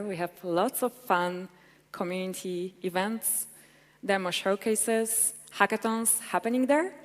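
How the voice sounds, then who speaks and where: A young woman presents at a tech conference in an eager, chatty way. Her voice sits at a middle pitch. The recording carries the long ring of a large hall. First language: Polish. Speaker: conference speaker.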